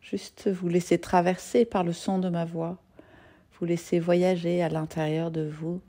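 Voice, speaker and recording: A woman yoga nidra teacher leading a guided meditation in a gentle, soothing, soft-spoken manner with long pauses. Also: fairly low pitch, little pitch movement.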